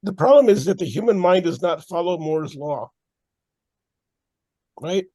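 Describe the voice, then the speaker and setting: A hoarse man speaking as a panelist over a video call, reflective and a little jokey. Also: high-pitched, lively intonation, unhurried, mellow, no echo, studio quality. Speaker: panelist, AI and cognitive science background. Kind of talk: panel discussion remarks.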